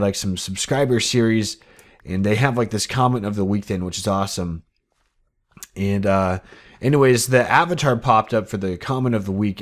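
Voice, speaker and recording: An eighteen-year-old man hosting his own game channel, talking casually and rambling upbeat with lots of fillers. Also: lowish voice. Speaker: gaming channel host. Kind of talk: casual Minecraft commentary.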